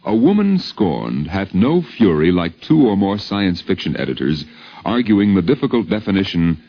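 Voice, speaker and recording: A man's voice: deep voice, sing-song, moderate pace. A man narrating a scripted 1950s radio documentary in a polished, formal style with a wry literary turn. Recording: dull lo-fi 1950s radio recording.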